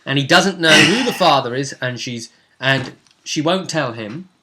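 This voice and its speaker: A man's voice: mid-range voice, lively intonation, unhurried, pushed and bright. A man acting as game master, chattily recapping a tabletop role-playing session in an informal, rambling way. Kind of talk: casual conversational recap.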